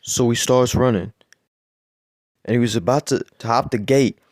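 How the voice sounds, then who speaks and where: A man spins a crude, rambling bedtime story in a flat, deadpan delivery, swearing freely and restarting his phrases. His voice sits at an ordinary middle pitch. The recording is close and dry, with no room sound. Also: moderate pace, no room sound. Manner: profane, deadpan, rambling with repeats.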